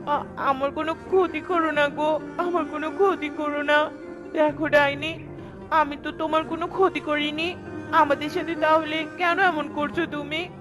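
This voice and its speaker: A woman's voice: high voice, little pitch movement, normal speed, music in the background, close to an everyday voice. A woman voicing a small, brave female bird in a cartoon, speaking insistently and emotionally. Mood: frightened, pleading. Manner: insistent, emotional, repeating pleas and demands. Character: small brave female tailorbird, indignant, pleading for her friend. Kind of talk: dramatic cartoon voice acting.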